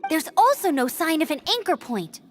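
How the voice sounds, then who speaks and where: A super high spirited anime game girl knight, brave and eager, really animated delivery. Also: normal speed, over music. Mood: frustrated, thinking. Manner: earnest, spirited. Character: plucky young woman knight scout, eager and brave. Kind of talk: anime video game voice acting.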